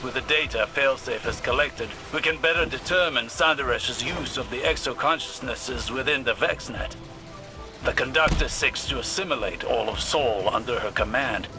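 A man playing a solemn, resolute warrior ally in a video game, speaking formally and gravely. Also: medium pitch, natural voice. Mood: grave, determined. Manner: formal, grave, deliberate wording. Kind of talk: video game character dialogue. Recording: game music behind the voice.

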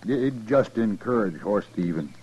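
An American actor playing a folksy, hard-minded rancher leading a lynching party in a 1950s western radio drama, with a drawling, matter-of-fact manner. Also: male voice, fairly low pitch, lively intonation, a little slow, darkish tone, breathy edge. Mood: stern, unbending. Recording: muffled 1950s radio recording.

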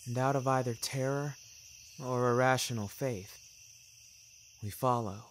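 A man narrating scripted first-person fiction in a measured, wry, literary manner. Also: mid-range voice, steady tone, slight background noise. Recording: music bed under the voice.

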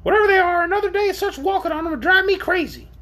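A reader voicing a shrill, scornful and self-pitying male orc creature in a storybook, with a plaintive, complaining manner. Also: rapid-fire, fairly bright tone. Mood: moaning. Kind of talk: acted storybook character.

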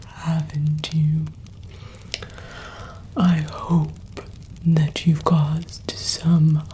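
Very slow, whispering young woman. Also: low voice, dark tone, a little hiss or hum. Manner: whispering, slow, soothing, drawn-out. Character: doting, mischievous witch pampering her cat familiar. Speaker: ASMR performer. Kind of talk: whispered ASMR roleplay. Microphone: close ASMR microphone.

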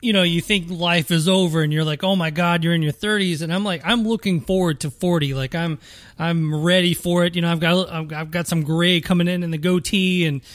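Late-30s guy hosting a podcast, highish voice, dry sound, rambles his questions. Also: steady tone, brisk, fairly bright tone, no echo. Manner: chatty, laughs along, long rambling questions. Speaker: podcast host. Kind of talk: casual interview talk.

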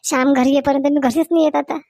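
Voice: high voice, steady tone, rapid-fire, vocal fry, a little mellow, no room sound, studio quality. A performer voicing an anxious village daughter-in-law in a comedy cartoon, with a Varhadi Marathi accent. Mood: worried. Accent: Varhadi Marathi from Vidarbha.

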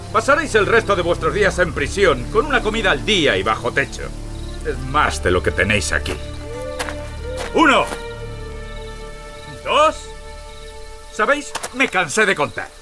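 Villain sheriff: high, wildly swooping, theatrical taunts, audio drama over music. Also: male voice, big pitch swings, moderate pace, pauses often. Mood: menacing. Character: gloating, sneering villain sheriff hunting outlaws. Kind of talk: dramatized audio fiction acting.

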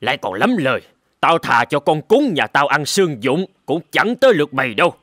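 Sneering cartoon village bully. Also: male voice, a little high, big pitch swings, brisk, a bit bright, no room sound, clean studio sound. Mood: angry, sneering. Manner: sneering, scolding. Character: scornful, bullying rich village man, middle-aged, hot-tempered. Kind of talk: animated folk-tale cartoon dub.

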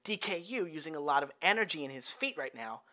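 A man's voice: on the high side, expressive, fast, bright, forceful tone. A man from the Bay Area, a boxing coach, talking casually through fight footage and often checking in with "right?". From Bay Area, United States. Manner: chatty, tags many lines with "right?", laughs at own jokes. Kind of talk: casual fight-film breakdown.

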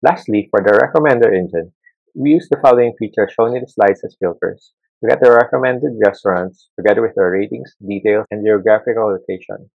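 Guy reading project slides, low raspy voice, dull laptop mic sound. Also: no room sound. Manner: measured reading with small restarts. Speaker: student presenter. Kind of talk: scripted project presentation.

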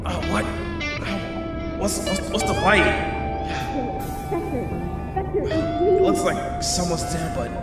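A man's voice: high voice, wildly swooping pitch, slow, full character voice. A young man acting an anxious, battered superhero in an audio drama, earnest and breathless as he narrates his ordeal. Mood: panicked. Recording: very echoey hall-like reverb, music behind.

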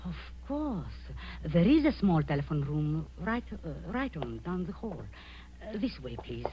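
Sinister, commanding aunt, very expressive pitch, theatrical 1940s radio villainy, muffled noisy old recording. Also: female voice, unhurried. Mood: uneasy. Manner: theatrical, commanding, falsely genteel. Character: towering, sinister, domineering aunt and captor.

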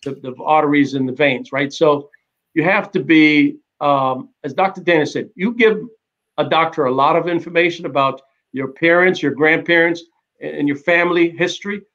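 A middle-aged man on a live video-call panel, explaining in a measured way and checking with "right?". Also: a little high, fairly bright tone. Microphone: video call webcam. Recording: dull webcam sound.

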